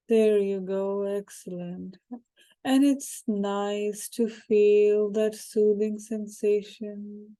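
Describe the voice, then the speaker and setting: Woman doing guided hypnosis, super slow, really mellow dark voice, calm n gently suggestive. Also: medium pitch. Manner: calm, unhurried, gently suggestive, short phrases with pauses. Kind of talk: guided meditation, soothing hypnosis narration.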